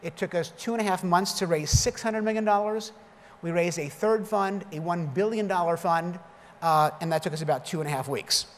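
A man's voice: high-pitched, fairly quick. A man in his fifties giving a conference keynote from a lectern, telling punchy, self-deprecating stories. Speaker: keynote speaker. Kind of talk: conference keynote with jokes and anecdotes. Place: large conference hall stage at a lectern. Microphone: lectern microphone. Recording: long hall reverb, faint background noise.

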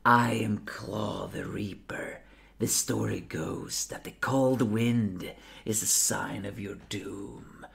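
A man voicing a cold, menacing grim reaper in a slow, breathy whisper. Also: on the low side, full character voice. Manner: slow, hushed, ominous.

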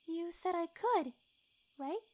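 A woman's voice: very high-pitched, lively intonation, slow pace, full character voice. A woman voicing a shy, kind, curious young pony in a cartoon, hesitant and sweet. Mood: timid, curious. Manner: timid, hesitant, apologetic, sweet. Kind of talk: animated fan drama acting. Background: background music.

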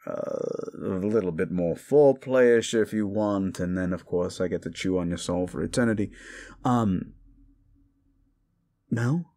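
A man's voice: on the low side, darkish tone, exaggerated voice. A man playing a sardonic, hungry demon in an audio roleplay, talking to the listener in a condescending, theatrical, mocking way with muttered asides. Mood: mocking, amused.

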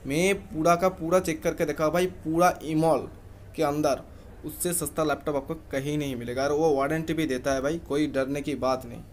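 A man's voice: fairly high pitch, steady tone, normal speed, slight background noise. A young man vlogging, talking casually to his viewers and often checking in with them. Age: young adult. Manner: chatty, keeps asking "ठीक है?". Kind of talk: casual vlog talk to camera. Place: indoors, plain room, then walking through a mall.

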